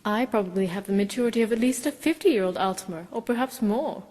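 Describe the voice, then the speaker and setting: A woman's voice: medium pitch, quick pace, a little mellow, in a large hall, natural voice. A woman voicing a spirited, outspoken young elf adventurer in a fantasy video game. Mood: proud, matter-of-fact.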